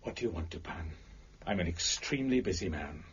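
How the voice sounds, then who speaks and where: An actor playing an overbearing, contemptuous prefect of police in an audio drama, giving imperious orders in formal language. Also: male voice, low voice, lively intonation, fairly quick, slightly rough, lo-fi sound, full character voice. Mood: haughty. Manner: imperious, clipped commands, formal diction.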